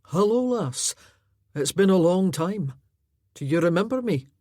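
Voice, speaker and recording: A woman voicing a gentle, grieving Highland man in an audiobook, speaking kindly. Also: expressive, unhurried, dry recording, clean studio sound. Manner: gentle and warm.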